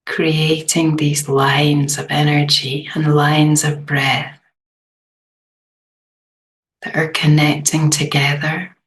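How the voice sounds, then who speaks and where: Very slow, low-pitched woman guiding meditation. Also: flat delivery, poor audio. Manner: gentle, unhurried, long pauses. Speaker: meditation guide. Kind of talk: guided meditation.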